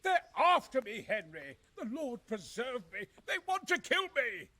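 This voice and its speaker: An actor playing a nervous, middle-aged military engineer and former crusader in a video game, speaking earnestly in formal, old-fashioned phrasing. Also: male voice, very high-pitched, big pitch swings, unhurried, sharp tone, exaggerated voice. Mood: frightened. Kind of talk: dramatic video game acting.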